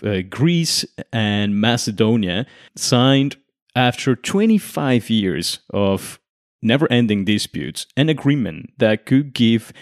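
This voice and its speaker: A young man from Mexico hosting a conversational explainer, making his case with lively rhetorical questions. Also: fairly low pitch, no room sound, clean studio sound. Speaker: podcast host.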